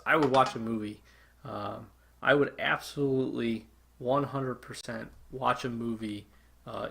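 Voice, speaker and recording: Gravelly, chatty gaming host. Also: male voice, on the low side, fairly bright tone. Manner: chatty, enthusiastic, many fillers. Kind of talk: casual video intro.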